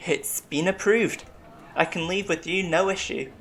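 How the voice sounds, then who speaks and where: An actor playing a gentle, formal bear-like alien medic in a science-fiction audio drama. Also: medium pitch, a little hiss or hum. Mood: polite, reserved. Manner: polite, measured, formal.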